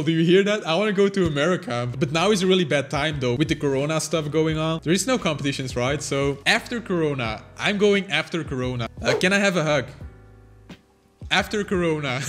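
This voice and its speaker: A young man talks quickly and casually in English, answering viewer questions from his desk. His voice sits a little high for a man. Soft music plays faintly behind him in a small room. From Belgium. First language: Flemish. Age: young adult. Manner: chatty, animated, quick. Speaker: channel host. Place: small home room, indoors. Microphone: fixed camera, seated at desk.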